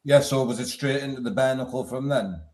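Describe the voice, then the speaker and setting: A man's voice: mid-range voice, brisk, a little mellow. A man hosting a casual interview, friendly and informal. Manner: friendly, chatty, informal slang. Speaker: podcast host.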